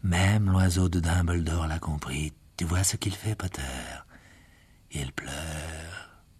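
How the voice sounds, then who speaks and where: A man voicing a cruel, gloating young dark wizard, speaking in a slow, low, menacing murmur. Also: fairly even intonation, breathy edge, full character voice. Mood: gloating menace. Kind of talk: audiobook character voice.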